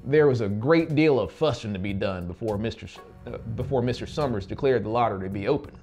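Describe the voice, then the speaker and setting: A man's voice: on the low side, fast, darkish tone. A man in his thirties narrating a story dramatically as an audiobook, close to the mic. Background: music.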